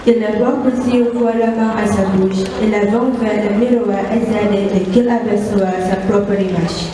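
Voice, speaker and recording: A woman's voice: medium pitch, steady tone, a little slow, a crowd in the background. Young woman narrating in a very echoey hall. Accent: Indonesian. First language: Indonesian. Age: young adult. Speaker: narrator reading stage directions. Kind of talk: scripted narration in a stage reading. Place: indoor performance hall, recorded from a distance. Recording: distant echoey hall, noisy room ambience.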